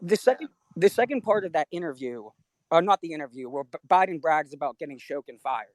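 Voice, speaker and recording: High-voiced man asking a long question. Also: animated, a little fast, no room sound. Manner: earnest, rambling run-on questions. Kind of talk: casual live audio chat.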